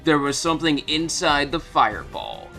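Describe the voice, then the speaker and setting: A man narrating a mock-dramatic reading of fan fiction in a deliberate manner, taking awkward text as written. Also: a little high, wildly swooping pitch, gravelly, pushed and bright, faint music behind.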